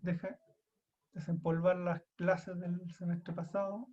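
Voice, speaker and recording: High-voiced Chilean lecturer on a muffled call. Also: male voice, level tone, takes it slowly, mellow. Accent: Chilean Spanish. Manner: casual, self-deprecating, thinks aloud with restarts. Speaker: university lecturer. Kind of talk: online class lecture. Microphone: computer mic on a video call.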